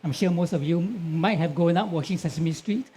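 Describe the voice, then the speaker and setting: A man's voice: a little high, normal speed, mellow, a little hiss or hum. A man, a Cantonese speaker, earnestly recalling his start as a writer on a panel. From Singapore. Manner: earnest, plain-spoken recollection. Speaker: author on a panel.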